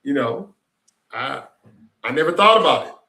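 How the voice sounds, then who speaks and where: A man's voice: medium pitch, expressive, moderate pace, vocal fry, sharp tone. A man in his sixties hosting a casual reflective chat, rambling and repeating himself in an upbeat way. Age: 60s. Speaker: host. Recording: echoey room.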